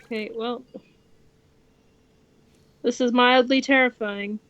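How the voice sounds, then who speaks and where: A woman's voice: fairly high pitch, fairly even intonation, slow, a bit bright, no echo, lo-fi sound. A young woman streaming a game, chatting casually and exclaiming readily as she reacts to play. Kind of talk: casual co-op gameplay chat.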